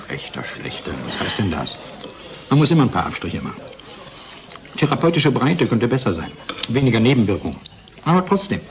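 An actor playing a measured, hedging research pharmacologist in a radio drama, dry and explanatory. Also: male voice, mid-range voice, wildly swooping pitch, background noise. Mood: defensive. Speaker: pharmacologist character. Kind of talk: German radio drama acting.

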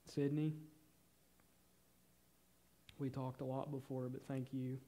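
A young man preaching a sermon on a church stage, earnest, shifting between loud emphasis and soft, near-whispered moments. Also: mid-range voice, level tone, slow pace. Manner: paces the stage gesturing with both hands. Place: church stage in a large hall.

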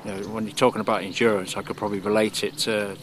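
A man's voice: lowish voice, level tone, racing, fairly bright tone. A man in his forties, a serviceman and charity cyclist, talking hesitantly in an outdoor interview at an airbase. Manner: hesitant, fillers and restarts. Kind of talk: on-camera interview. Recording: outdoor wind and traffic noise.

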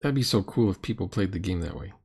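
A man's voice: deep voice, lively intonation, quick pace, darkish tone, husky. A middle-aged man giving casual, sardonic and critical commentary on a roleplaying game.

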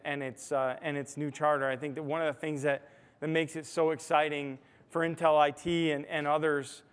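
A man's voice: on the high side, clean tone. An American man giving a conversational conference keynote, explaining points and often checking in with "right?", recorded on a lapel mic in a large ballroom. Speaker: technology executive giving a keynote. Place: large hotel ballroom stage, indoors. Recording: reverberant hall, amplified stage sound.

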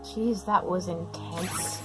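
A woman giving spontaneous reaction commentary, excitable and full of exclamations. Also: on the low side, slow pace, music in the background.

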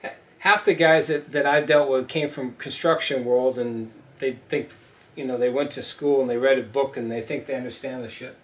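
A man's voice: mid-range voice, steady tone, moderate pace, fairly bright tone, far from the mic. Blunt middle-aged man teaching welding. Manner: blunt, emphatic, repeats himself. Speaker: ship fitting instructor. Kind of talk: whiteboard welding lesson. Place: small indoor room at a whiteboard. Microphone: camera mic from a few feet away. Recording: roomy echo, voice off-mic.

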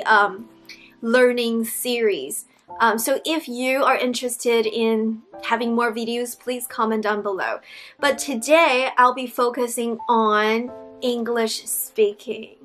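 A woman's voice: a little high, fairly bright tone. A young woman from Beijing with a Mandarin Chinese accent, talking casually to camera as an English teacher, explaining in a friendly way with frequent ums and restarts, recorded in a small indoor room. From Beijing, China. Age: young adult. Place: small indoor room with wood-panelled wall.

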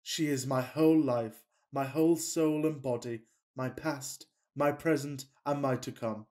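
A man playing a fussy, passionate Victorian gentleman in a farcical radio play, with pompous, declamatory delivery. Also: mid-range voice, fairly even intonation, measured pace, lightly acted. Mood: rapturous. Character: melodramatic, fussy Victorian gentleman suitor, self-pitying and passionate.

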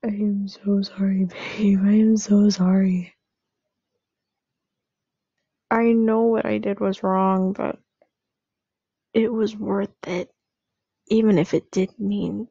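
Woman acting a creaky, flustered young boyfriend. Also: medium pitch, flat delivery, slow pace, mellow, no echo, muffled recording, full character voice. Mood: resigned, sheepish. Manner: stammering, apologetic, pleading. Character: sheepish, pleading young boyfriend caught stealing a cookie. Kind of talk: roleplay audio drama, addressing the listener.

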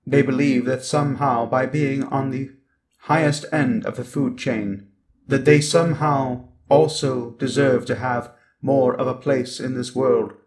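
Man acting a slow, glitching evil robot. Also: mid-range voice, darkish tone, lightly acted. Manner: deliberate, glitching repetitions of syllables and words. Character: evil sentient robot, cold, menacing, contemptuous of humans. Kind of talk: scripted ASMR roleplay monologue.

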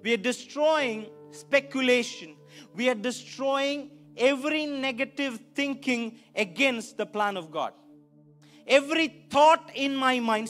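A male pastor delivers an emphatic sermon in a very high-pitched voice. He repeats key phrases for weight, speaking into a handheld mic on a church stage, with faint music underneath. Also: a bit bright. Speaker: pastor preaching. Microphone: handheld microphone.

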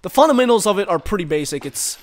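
A man's voice: high-pitched, big pitch swings, quick pace. Rambling young man on a headset. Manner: rambling, reacts loudly to the game. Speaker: gaming commentator. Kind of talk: casual gameplay commentary.

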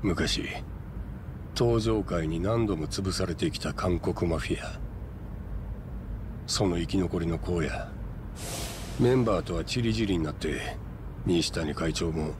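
An actor playing a sly, tough middle-aged yakuza lieutenant in a video game, with a Kansai accent and a mock-polite, insinuating drawl. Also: male voice, low voice, a little slow, pauses often. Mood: dry, explanatory. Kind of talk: video game cutscene acting. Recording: noisy vehicle ambience.

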